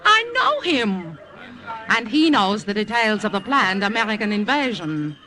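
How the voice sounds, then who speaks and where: An actress playing a worldly, flirtatious French cabaret singer and secret agent in a radio drama, coy, poised and knowing. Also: female voice, mid-range voice, big pitch swings, a little slow, pushed and bright. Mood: sly, knowing. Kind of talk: old-time radio acting. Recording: vintage radio recording with music behind.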